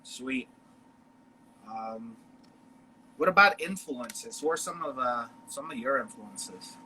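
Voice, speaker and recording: A man with a Chicago accent hosting a casual online chat over a video call, laid-back and slangy, with drawn-out fillers. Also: medium pitch, expressive, bright, forceful tone, slight background noise, poor audio. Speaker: host.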